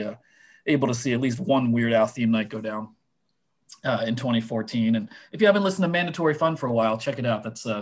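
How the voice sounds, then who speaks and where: A man whose voice is going hoarse, chatting casually on a sports podcast with lots of fillers and restarts. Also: mid-range voice. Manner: rambling, many fillers and restarts. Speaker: minor league baseball writer guest.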